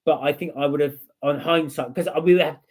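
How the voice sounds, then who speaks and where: A man's voice: fairly high pitch, steady tone, fairly quick, a little mellow. A man co-hosting a live stream from home, chatting casually and reading out viewer comments, rambling with fillers and restarts. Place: home room with a heater.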